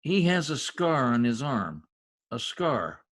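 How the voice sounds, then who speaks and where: A woman playing a shot, dying gangster informer in an old-time radio comedy-mystery, halting, groaning and urgent in a melodramatic way. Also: rumbling low, sing-song, exaggerated voice. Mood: weak, insistent.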